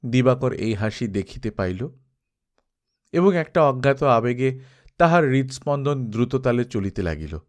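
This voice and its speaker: A man's voice: medium pitch, very animated, normal speed. A man reading scripted literary narration as an audiobook storyteller.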